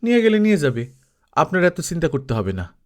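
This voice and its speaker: Male narrator as young actress, bitter, defiant, sharp and insistent, colloquial, raised pitch, storybook reading. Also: big pitch swings, fairly quick.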